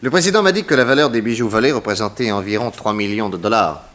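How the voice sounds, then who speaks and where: An actor playing a self-assured, polite and inquisitive private detective in a 1960s French radio drama. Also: male voice, on the low side, fast talker, fairly bright tone, close to an everyday voice.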